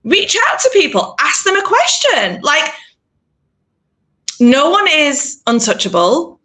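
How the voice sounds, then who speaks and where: Middle-aged woman, PR consultant guest. Bright voice, emphatic, animated, casual delivery. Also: sits high, takes it slowly. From England. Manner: animated, emphatic, acts out quoted thoughts. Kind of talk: casual interview conversation.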